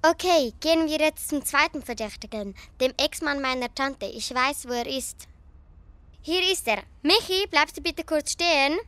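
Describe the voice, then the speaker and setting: A woman's voice: high voice, lively intonation, normal speed, sharp tone, no echo. A young woman acting an eager, earnest girl detective in an amateur radio play. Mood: determined.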